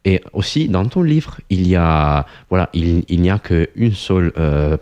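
A man with a very low, dark, mellow voice asks long interview questions. He stops often and fills the pauses with hesitations as he looks for the words. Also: animated, highs cut off. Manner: halting, full of fillers.

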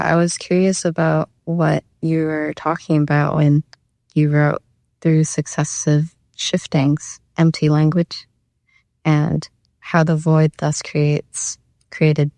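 A low-voiced Canadian woman with a gravelly creak in their speech, recorded close and dry. They talk reflectively as an artist in conversation, feeling out each thought with some hesitation. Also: darkish tone, dry recording. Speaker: visual artist being interviewed. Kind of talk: reflective conversation about their own art.

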